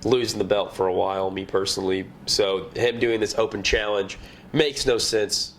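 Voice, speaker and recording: A man co-hosting a wrestling recap show, hoarse and raspy from a cold, talking bluntly in casual chat. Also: lowish voice, steady tone, moderate pace, a bit bright, slight background noise. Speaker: wrestling podcast co-host.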